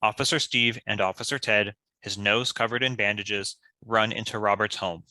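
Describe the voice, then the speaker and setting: A man's voice: lowish voice, fairly even intonation, normal speed, sharp tone, low-quality recording. A man reading stage directions as scripted narration for a table read.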